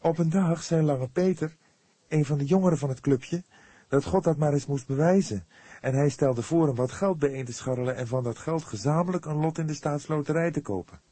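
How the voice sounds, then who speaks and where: A man voicing an earnest, devout young woman in an audiobook, speaking patiently and with solemn emphasis, quick to defend herself. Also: on the high side, dark tone, no echo, voice pushed up, lightly acted. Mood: patient, insistent.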